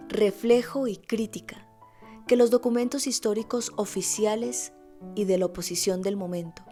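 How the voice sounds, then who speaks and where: A woman's voice: mid-range voice, warm tone. A woman reading scripted documentary narration as the programme's narrator. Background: music.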